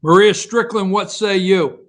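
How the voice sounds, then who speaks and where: A man's voice: high voice, a little slow, fairly bright tone. A man moderating a political debate, asking candidates direct questions.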